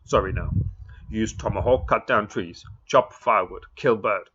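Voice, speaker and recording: Man voicing a little warrior chief, demanding, clipped broken phrases, loud, husky, muffled recording. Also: fairly low pitch, normal speed. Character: small proud male warrior chief, demanding.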